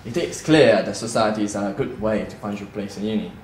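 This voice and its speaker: A man's voice: on the low side, brisk, a little mellow. A young man presenting a feature in scripted narration. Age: young adult. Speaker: student TV presenter.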